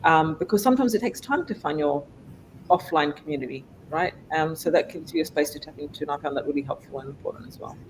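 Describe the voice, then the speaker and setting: A man talks over a video call in an online panel, relaxed and reflective. His pitch sits a little high for a man, with faint low noise behind him. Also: fast talker, poor audio. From Australia. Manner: reflective, relaxed, many fillers. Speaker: summit speaker. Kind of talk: casual panel chat.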